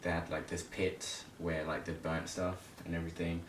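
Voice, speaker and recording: A man in his early twenties from Zimbabwe speaks in a low, fairly monotone voice. He tells his story informally, pausing and feeling for words as he goes. The recording is echoey and distant, with rumbling noise behind him. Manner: hesitant, halting, informal. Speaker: interview guest. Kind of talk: casual personal storytelling.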